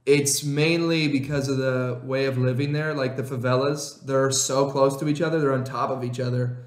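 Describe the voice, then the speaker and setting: A young man co-hosting a podcast in casual chat, rambling and excitable, laughing often. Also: mid-range voice, normal speed. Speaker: podcast co-host. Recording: roomy echo.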